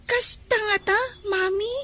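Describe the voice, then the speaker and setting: A woman's voice: extremely high pitch, fairly even intonation, slow, bright, forceful tone, full character voice. A woman acting an innocent, questioning young child in a radio drama. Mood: curious, innocent.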